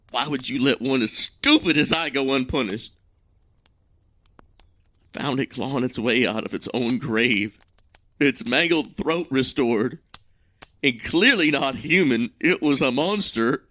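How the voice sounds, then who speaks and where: An actor playing an ashamed, grieving man who tells his tale with self-loathing and dramatic pauses. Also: mid-range voice, bright, forceful tone, no echo. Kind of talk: dramatic fantasy monologue in audio drama.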